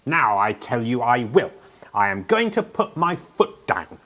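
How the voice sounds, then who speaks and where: A man's voice: medium pitch, sing-song, unhurried, fairly bright tone. A man acting a young uncrowned king in a radio play, whining, sarcastic and stubborn. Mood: defiant. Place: actor's home, recorded remotely.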